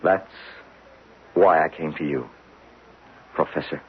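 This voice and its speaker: An actor playing a desperate, obsessed geologist in an old radio drama, speaking with insistent intensity. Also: male voice, a bass voice, slow, slightly rough, heavily acted. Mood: subdued, determined. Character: obsessed, desperate male geologist urging belief in his discovery. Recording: muffled, hissy old radio recording.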